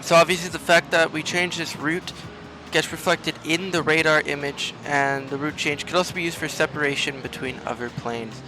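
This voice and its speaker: A man's voice: a little high, steady tone, moderate pace, hardly any pauses, noisy background. A man hosting a gaming video, explaining a game step by step in tutorial-style commentary.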